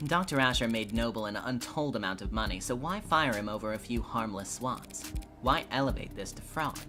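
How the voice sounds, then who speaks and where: A man voicing a sharp, sardonic defense counselor in a mystery game, cross-examining in a pointed, accusatory way. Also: medium pitch, normal speed, close to an everyday voice. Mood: probing. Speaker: streamer voice acting a game playthrough. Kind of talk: dramatic live character reading of game dialogue. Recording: music behind.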